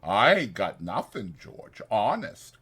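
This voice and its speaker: A man's voice: lowish voice, very animated, takes it slowly, fairly bright tone, husky, full character voice. A man voicing a simple-minded, eager, forgetful working man, hesitant, repetitive and naive, in a dramatized audiobook. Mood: sheepish.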